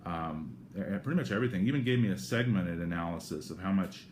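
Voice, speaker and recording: Deep-voiced American man, rambling video update. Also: lively intonation, darkish tone. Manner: rambling, halting, self-correcting. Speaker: vlogger giving a personal health update. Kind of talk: casual unscripted talk to camera. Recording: clear background noise, room ambience.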